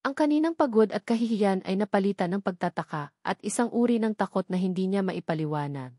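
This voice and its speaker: A woman's voice: mid-range voice, animated, fast, dark tone, no echo. Woman narrating a dramatic story, dry sound. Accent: Filipino. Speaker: audiobook narrator.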